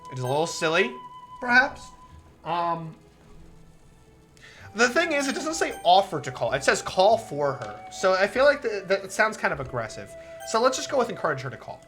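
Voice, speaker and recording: A woman streamer chatting casually while playing a visual novel, rambling and swearing, with a soft, relaxing voice. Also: mid-range voice, big pitch swings, normal speed, faint music behind. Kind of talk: casual livestream commentary over a visual novel.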